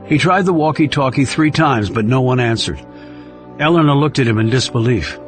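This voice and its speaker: A man's voice: mid-range voice, expressive, normal speed. A man reading a thriller audiobook as narrator, in a scripted storytelling style. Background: music under the reading.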